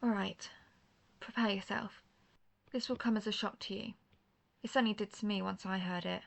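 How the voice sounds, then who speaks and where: A woman's voice: medium pitch, fairly even intonation, normal speed, vocal fry, lightly acted. A woman playing an intense, guarded vampire hunter, herself a vampire, in a scripted roleplay audio drama, speaking earnestly and formally straight to the listener. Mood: grave, revealing. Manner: earnest, formal phrasing, addressing the listener directly.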